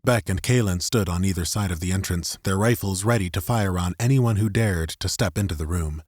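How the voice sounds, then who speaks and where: A low male voice reads scripted fiction as an audiobook narrator. The recording is very dry and close, like a studio microphone, with the story told in third person. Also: a little fast, a little mellow, dry recording.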